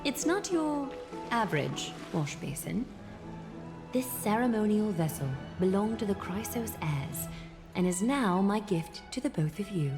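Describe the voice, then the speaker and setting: A woman playing a serene, solemn priestess in a video game, speaking slowly and formally with a breathy, sometimes whispering voice. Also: medium pitch, big pitch swings. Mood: solemn, instructive. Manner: slow, deliberate, formal and commanding. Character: serene, solemn priestess guiding a sacred water ritual. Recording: background music under game dialogue.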